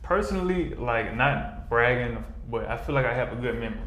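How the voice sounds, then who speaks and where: A man's voice: mid-range voice, animated, brisk, a bit bright, a little hiss or hum. A man speaking casually as an interview guest, in a conversational way that often checks the listener is following. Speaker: filmmaker and actor, podcast guest. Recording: roomy, echoing room sound.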